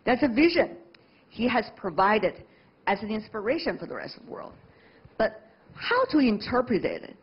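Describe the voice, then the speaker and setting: A woman hosting a televised debate, brisk and probing as she prompts her guests and polls the audience. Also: on the high side, sing-song, slightly rough, lo-fi sound. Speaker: TV debate host. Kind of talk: moderating a televised panel. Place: TV studio with audience.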